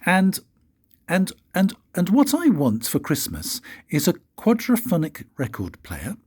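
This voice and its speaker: An eager little storybook mouse, acted out by an older man, chattering greedily and insistently. The voice is pushed up high, husky, and leaps about as he piles item on item. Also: wildly swooping pitch, a little slow, darkish tone, heavily acted. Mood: eager, greedy. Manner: breathless, insistent listing. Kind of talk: storybook character voice.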